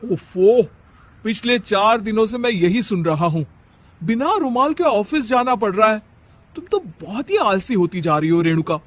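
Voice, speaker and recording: A man's voice: high voice, big pitch swings, moderate pace, no room sound. A man voicing an irritated young husband, complaining and exasperated, in a cartoon family story. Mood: fed up. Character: irritated young husband fed up with lazy wife.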